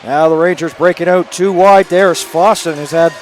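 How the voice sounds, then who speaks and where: A hockey play-by-play man with a raspy, worn-out voice that sits high for a man. He rattles through the action at speed and jumps up in pitch when a chance comes. A faint hiss hangs under the arena feed. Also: a faint crowd. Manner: rapid, rising to excited calls on scoring chances. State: hoarse from days of calling games. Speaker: play-by-play announcer. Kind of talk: live hockey play-by-play commentary. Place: arena broadcast booth.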